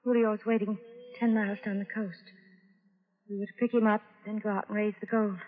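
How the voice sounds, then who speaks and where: A woman's voice: medium pitch, level tone, a little fast, natural voice. A young woman with a secret, played by a radio actress, guarded and regretful in an earnest, melodramatic way. Her voice carries long reverb on a slightly muffled old radio recording. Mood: resigned confessing. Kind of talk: 1940s-50s radio drama acting.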